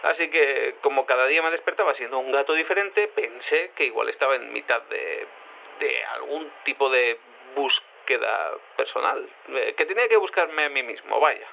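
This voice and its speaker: A man's voice: medium pitch, normal speed, creaky. An actor playing a confused male cat turned human, hesitant and stammering, rambling as an interviewee in an audio drama over a telephone line. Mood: unsure, rambling. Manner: hesitant, stammering, self-contradicting. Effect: telephone filter. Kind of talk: audio fiction acting as an interviewee. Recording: tinny phone line with noise.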